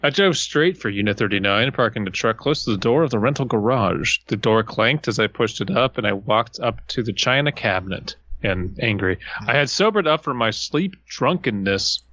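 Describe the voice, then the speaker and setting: A man with a bright, forceful voice reads a horror story on a comedy podcast, tossing in crude wisecracks. The recording has a dull, lo-fi quality. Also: on the low side, lively intonation, moderate pace, hardly any pauses. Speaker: podcast co-host reading a story. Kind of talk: casual comedic story reading.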